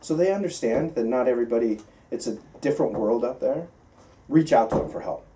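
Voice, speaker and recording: A man's voice: mid-range voice, lively intonation, brisk, mellow, slight background noise. An American man working as a flight instructor, giving an informal, conversational lesson with emphatic points and frequent check-in questions, picked up by a distant mic. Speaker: flight instructor teaching pilots. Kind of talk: informal classroom lecture with questions.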